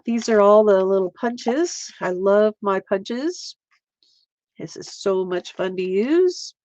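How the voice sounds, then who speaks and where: A woman's voice: mid-range voice, expressive, slow, darkish tone, no room sound, lo-fi sound. A woman hosting a casual live art stream, chatting and laughing with viewers as she works, with a slight Canadian accent. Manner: chatty, giggly, talks to viewers while crafting.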